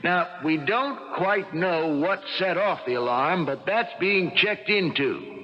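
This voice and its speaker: An American actor playing an earnest, puzzled laboratory colleague, with hesitant, expressive radio drama delivery. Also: male voice, high voice, slow pace, over music. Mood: brisk, alert. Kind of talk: 1980 radio drama acting. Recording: dull old radio broadcast, faint noise.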